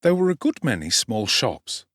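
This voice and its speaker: A man with an English accent giving a measured, articulate, expressive narration of a literary story. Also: mid-range voice, no echo, studio quality. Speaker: audiobook narrator, actor. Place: dry, close studio-like recording.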